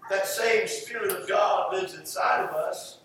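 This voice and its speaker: A man's voice: high-pitched, a little slow, crowd noise. A man preaching a sermon, teaching from scripture in an emphatic way and repeating phrases for stress. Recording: roomy echoing hall, distant mic, music.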